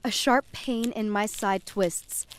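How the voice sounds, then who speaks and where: A woman's voice: mid-range voice, slow pace, slight background noise, natural voice. A woman playing a frightened, dazed young woman who narrates her nightmare in the first person, intense and dramatic. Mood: tense, hushed. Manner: intense, dramatic, swinging from hushed to urgent.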